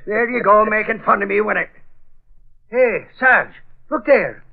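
A talkative comic sidekick from a 1940s radio drama. He grumbles in a high, folksy voice. The sound is old and muffled. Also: lively intonation, a little slow, vocal fry, heavily acted. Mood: exasperated.

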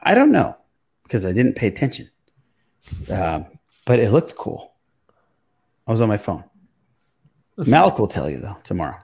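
A man's voice: lowish voice, huge pitch swings, normal speed, vocal fry, pauses often, darkish tone. A man hosting a pop culture podcast, chatting informally and swearing now and then. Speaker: podcast host.

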